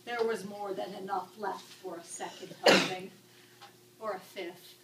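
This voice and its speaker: A woman narrating a written story in an expressive, arch, dramatic style. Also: mid-range voice, a little slow. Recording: echoey room, distant mic, background noise.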